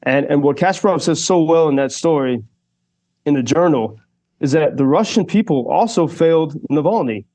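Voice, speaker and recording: A man's voice: on the high side, animated, moderate pace, dark tone, low-quality recording. A man reporting live for a radio show, talking thoughtfully with many fillers and restarts. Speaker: radio correspondent. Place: Kyiv.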